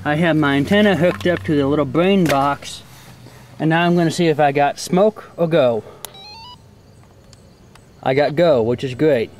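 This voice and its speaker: A man with a slightly high voice talks through a hands-on job. He is folksy and plain-spoken, like an amateur showing viewers each step. There is some noise in the homemade recording, made outdoors around a car. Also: moderate pace, pauses often. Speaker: host of a hobby how-to video. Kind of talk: casual hands-on tutorial narration.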